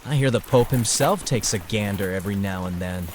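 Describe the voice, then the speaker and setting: Man acting a teasing, low-voiced protective brother. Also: fairly quick, slight background noise, natural voice. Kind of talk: audio drama dialogue.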